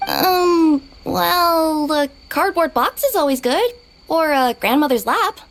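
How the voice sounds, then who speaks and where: A woman's voice: high-pitched, expressive, slow pace, exaggerated voice. A woman voicing a friendly, drowsy young female cat yokai in a video game, with a hesitant, polite, youthful delivery. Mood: hesitant, helpful.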